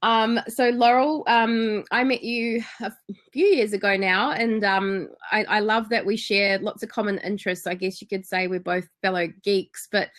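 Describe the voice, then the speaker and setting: A woman's voice: mid-range voice, fairly bright tone. Chatty kiwi woman hosting a webinar, lots of ums, bubbly. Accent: New Zealand. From New Zealand. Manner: enthusiastic, chatty, frequent ums. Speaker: webinar host.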